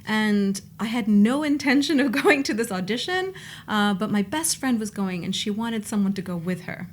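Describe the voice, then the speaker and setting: A woman's voice: medium pitch, lively intonation, moderate pace. A young woman with a hybrid American and British accent, chatting as an interview guest with frequent ums. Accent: mixed American and British. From Japan and abroad. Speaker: guest, theatre director. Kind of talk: casual interview conversation.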